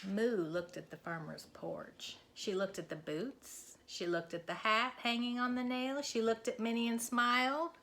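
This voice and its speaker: A woman narrating a children's storybook aloud in a deliberate, expressive school read-aloud style. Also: fairly high pitch. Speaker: elementary school teacher reading aloud.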